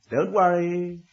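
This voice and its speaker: A man's voice: high-pitched, dull audio. An actor playing a genteel, self-assured male scholar who muses aloud in a radio drama. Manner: musing aloud, exclaiming and chuckling.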